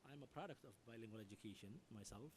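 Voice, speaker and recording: Man with measured speech. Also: lowish voice, noisy background. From Somalia. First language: Somali. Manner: measured, explanatory, with frequent fillers. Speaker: education department official on a panel. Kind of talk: panel discussion.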